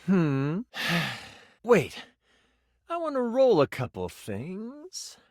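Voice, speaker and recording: A man's voice: high voice, wildly swooping pitch, drawn-out words, dry recording, clean studio sound, exaggerated voice. An actor playing a living twenty-sided die in a video game, a theatrical, nerdy male game master who narrates adventures and banters. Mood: exasperated. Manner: theatrical, swinging from exasperated outbursts to hushed storytelling.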